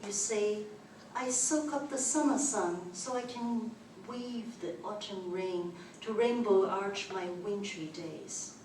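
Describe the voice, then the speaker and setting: A woman whose first language is Chinese reads her poetry at an open mic, taking each line slowly and carefully. She sounds far from the microphone in an echoey library room, with clear background noise. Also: mid-range voice, darkish tone. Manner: slow, careful recitation. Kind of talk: poetry reading.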